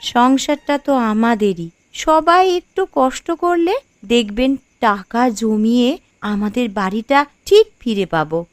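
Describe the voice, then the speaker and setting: A woman's voice: on the high side, expressive, slow pace, dry recording. A woman playing a determined, enterprising young daughter-in-law in a cartoon family drama, firm and instructing. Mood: earnest, persuasive.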